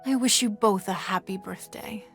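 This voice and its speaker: A woman's voice: medium pitch, moderate pace, creaky, a bit bright, faint music behind. An actress playing an elegant, poised wealthy lady in a video game, speaking in a gracious, refined way. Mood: gracious, tender.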